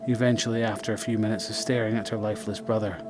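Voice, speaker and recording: A man narrating a scripted dramatic story in measured, short phrases. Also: on the low side, flat delivery, background noise.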